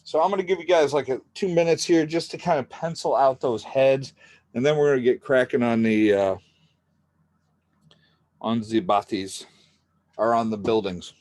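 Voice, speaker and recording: A man teaching a drawing class in a relaxed, chatty way, talking through each step and adding jokey asides. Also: medium pitch, moderate pace, pauses often. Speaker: art teacher. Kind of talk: casual drawing lesson.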